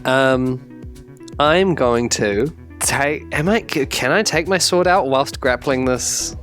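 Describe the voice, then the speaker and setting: A man's voice: medium pitch, slow, fairly bright tone. A man with a New Zealand accent chatting casually as a tabletop game player, hesitant and thinking aloud. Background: faint music.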